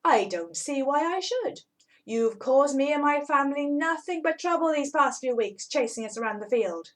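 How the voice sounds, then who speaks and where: A woman voicing a cross, stern male rabbit who talks in a brisk, emphatic way. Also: high voice, animated, a bit bright, voice pushed up, exaggerated voice. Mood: gloating.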